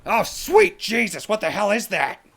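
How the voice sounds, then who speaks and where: A man playing a wry, weary, good-hearted and easily exasperated wasteland survivor in an audio drama, with a husky, gravelly voice. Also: high voice, wildly swooping pitch, unhurried, fairly bright tone, heavily acted. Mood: alarmed. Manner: sarcastic, colloquial, exasperated. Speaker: protagonist and narrator. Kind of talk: post-apocalyptic audio drama acting.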